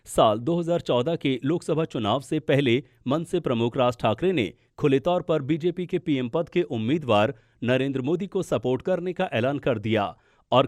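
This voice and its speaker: A man's voice: mid-range voice, a little mellow, no echo. Dry-recorded male news narrator. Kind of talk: scripted political explainer narration.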